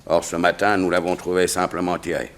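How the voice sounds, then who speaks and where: Deep-voiced, formal royal chamberlain. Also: male voice, flat delivery, a little fast, fairly bright tone. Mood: grave, formal. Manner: formal, precise, explanatory. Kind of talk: 1960s French radio drama acting.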